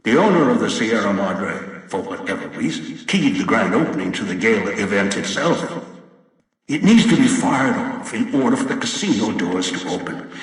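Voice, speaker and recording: Echoing, imperious old schemer. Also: male voice, lowish voice, sing-song. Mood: imperious instructing. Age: older. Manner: deliberate, imperious. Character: domineering, scheming old man issuing cold ultimatums. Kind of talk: video game voice acting. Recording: echoing hall, long reverb, distant.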